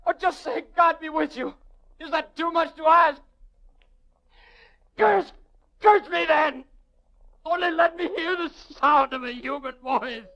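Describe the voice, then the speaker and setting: A man's voice: extremely high pitch, measured pace, vocal fry, long pauses, highs cut off, slight background noise, exaggerated voice. An actor playing an anguished, lonely prisoner recounting his confinement in an old radio drama, with theatrical, intense delivery. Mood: desperate, pleading. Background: music.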